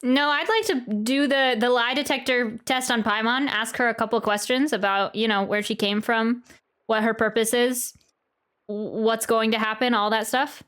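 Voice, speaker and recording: Female game streamer, higher-pitched, informal and playful reactions with faint game music underneath. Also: steady tone, normal speed, a bit bright. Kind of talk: casual live gameplay commentary.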